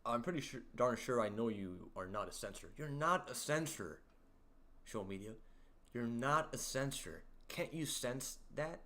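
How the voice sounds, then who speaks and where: A young man hosting a casual livestream, reading chat aloud and joking around, with a deep voice. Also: animated, measured pace, pushed and bright. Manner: playful, jokey, sings snippets and reads chat aloud. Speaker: livestream host.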